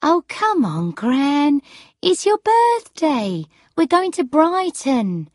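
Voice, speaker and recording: Woman as a coaxing, cheerful granddaughter, lifted lively pitch. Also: takes it slowly, no echo, clean studio sound, exaggerated voice. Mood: encouraging. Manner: bright, encouraging, soothing.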